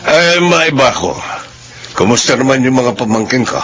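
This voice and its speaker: An actor with a Filipino accent playing a concerned, middle-aged uncle in a radio drama, measured and serious. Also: male voice, mid-range voice, lively intonation, fairly bright tone, close to an everyday voice. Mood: probing, serious. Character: concerned, probing older brother and uncle.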